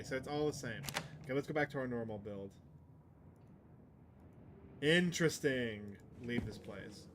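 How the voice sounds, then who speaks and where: Man streaming a game, noisy background. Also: medium pitch. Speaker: streamer playing a visual novel. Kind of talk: casual game commentary mixed with reading game text aloud.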